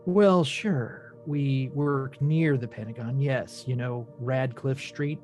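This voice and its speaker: A man's voice: mid-range voice, slow, a little mellow, soft background music, lightly acted. A man playing a cocky, self-important government agent in a screenplay table read. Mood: smug, evasive.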